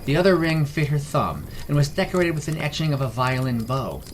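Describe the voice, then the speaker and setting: A man's voice: a little high, expressive, brisk, slight background noise. A man reading scripted fantasy story narration as the narrator of a fiction podcast. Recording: music behind the voice. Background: background music.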